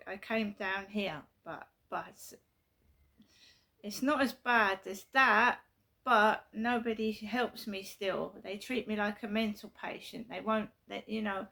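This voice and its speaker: Gravelly middle-aged woman. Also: medium pitch, fairly bright tone. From South London, England. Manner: repetitive, emphatic, earnest storytelling. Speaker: vlogger. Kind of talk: casual personal vlog. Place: her flat at night.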